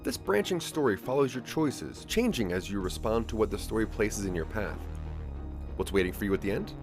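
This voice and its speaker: A man narrating a scripted audio drama in the second person, with a measured storytelling delivery. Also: fairly low pitch, animated, over music.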